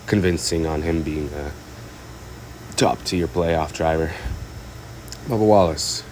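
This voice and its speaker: Very deep-voiced congested man rambling. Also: background noise. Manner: rambling, hesitant, many fillers and restarts. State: congested, stuffed up. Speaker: racing commentator. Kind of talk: casual race recap.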